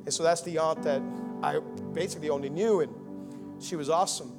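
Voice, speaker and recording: A young man from Miami preaching a Christmas sermon, moving between rousing calls and quiet personal talk. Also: on the high side, fairly quick, music in the background. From United States (Miami). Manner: rousing preaching, swinging from loud and fervent to quiet and intimate. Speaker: pastor.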